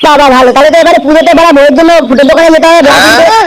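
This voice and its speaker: A man voicing a chatty, gullible village grandfather in a comedy cartoon, with a pitched-up voice. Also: steady tone, very fast, heavy background noise. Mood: surprised.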